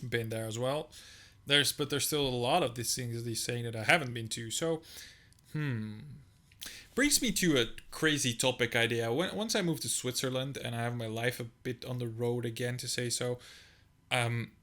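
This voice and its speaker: Bright-voiced young man, laid-back. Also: medium pitch, normal speed. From Bosnia. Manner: laid-back, swears, laughs along. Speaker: reaction video host. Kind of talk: casual reaction commentary.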